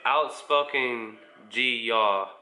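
A man's voice: medium pitch, extremely slow, sharp tone, a little hiss or hum, rough recording. A man of about twenty with an American accent, chatting casually to camera as he answers viewer questions in a laid-back, informal way. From United States, mostly Indiana. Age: around 20. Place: small living room at home, indoors.

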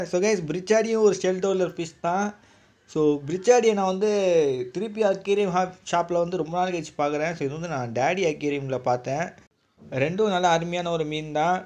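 A man's voice: fairly high pitch, darkish tone. A man. He hosts a casual handheld vlog, commenting with enthusiasm on what he films and talking straight to his viewers. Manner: enthusiastic, repeatedly addressing viewers as guys. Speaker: vlogger, aquarium channel host.

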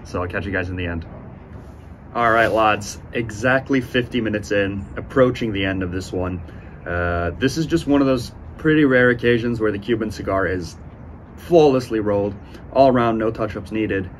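A man in his twenties giving a casual, unhurried review to camera, speaking with enthusiastic praise. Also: on the low side. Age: 20s. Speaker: cigar reviewer, host. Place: outdoors on a sunny porch by windows. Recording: outdoor ambient noise.

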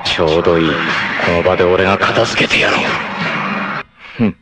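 An actor playing a stern, loyal young martial artist in a 1980s anime, with a smooth, clipped voice that bursts into ferocious battle cries. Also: male voice, sits low, fairly even intonation, a little fast, loud music behind the voice, natural voice. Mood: grave. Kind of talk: 1980s anime dub-free Japanese voice acting.

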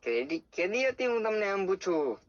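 A man's voice: high-pitched, very animated, brisk, dry recording. A man with a Saurashtra Gujarati accent arguing casually on a phone call, stubborn and blunt. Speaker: prank call target, car owner.

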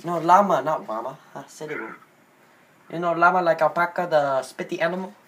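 A man's voice: a little high, fairly even intonation, normal speed, a bit bright, lightly acted. A young man playing a polite, earnest, apologetic Indian caller who hesitates with many ums. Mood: earnest, hesitant. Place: small bedroom. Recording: noisy amateur phone-video recording.

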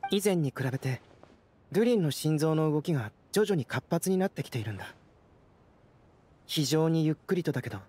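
Young alchemist, calm, measured, analytical, fairly high voice. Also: male voice. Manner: gentle, measured explanations. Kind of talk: video game voice acting. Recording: game cutscene audio with soundtrack under it.

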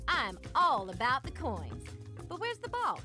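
A woman's voice: high-pitched, huge pitch swings, measured pace, music in the background, heavily acted. A woman playing a sassy, confident hippo in a video game, with a wry manner. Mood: playful confident. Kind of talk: video game character acting.

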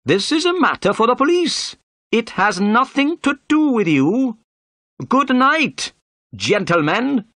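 A pompous police inspector in an audiobook mystery, pitched well up and swooping with self-importance. He is brusque and insistent, sure of his own authority. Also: sing-song, unhurried, no room sound, studio quality, full character voice. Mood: angry, dismissive.

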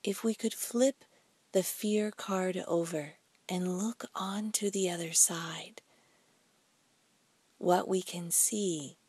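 Slow, breathy woman leading guided meditation. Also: lowish voice, a little mellow, dry recording. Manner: soothing, deliberate, with pauses between short phrases.

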